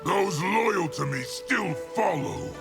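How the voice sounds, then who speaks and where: An actor playing a defiant, aggressive rebel general in a fighting video game, accusing and bitter. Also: male voice, sits high, expressive, slow pace, creaky, loud music behind the voice, full character voice.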